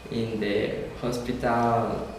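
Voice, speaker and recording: Slow, hesitant young Mexican man, far off. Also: mid-range voice, little pitch movement, darkish tone. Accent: Mexican Spanish. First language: Spanish. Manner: hesitant, many "um"s. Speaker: student interviewer. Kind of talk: prepared interview questions in a second language. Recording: distant mic, echoey hall, noticeable noise.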